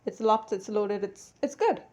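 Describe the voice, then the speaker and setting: A woman's voice: medium pitch, unhurried, a little mellow. A woman dressmaker chats to her tripod camera in her home studio. She rambles easily, full of fillers and restarts. Her voice sounds a little stuffy, as if she has a cold. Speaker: vlogger and dressmaker. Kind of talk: casual vlog chat to camera.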